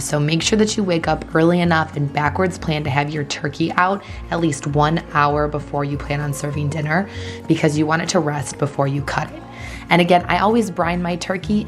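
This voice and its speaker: A woman recording voice-over for her cooking vlog, hoarse from losing her voice, giving friendly step-by-step tips. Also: sits low. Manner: friendly instructional. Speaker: homemaking vlogger.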